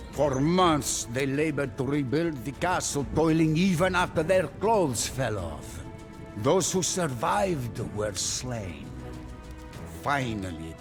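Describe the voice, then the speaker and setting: A solemn traveler recounts a warlord's history as a game cutscene narrator. The delivery is grave, theatrical and very unhurried, over clearly audible music. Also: male voice, mid-range voice. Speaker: campaign narrator. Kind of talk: dramatic scripted storytelling.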